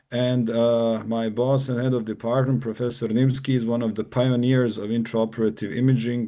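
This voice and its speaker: A man from Bosnia, a neurosurgeon giving a formal academic lecture over a video call, measured and pausing often with "uh". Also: medium pitch, warm tone. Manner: measured, formal, with frequent "uh" pauses. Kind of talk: academic presentation.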